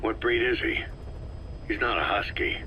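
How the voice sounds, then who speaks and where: An actor playing a terse, guarded, battle-worn soldier boss in a video game, laconic and deadpan. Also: male voice, fairly low pitch, moderate pace, highs cut off, slight background noise, close to an everyday voice. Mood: guarded, dry. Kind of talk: video game voice acting, scripted dialogue.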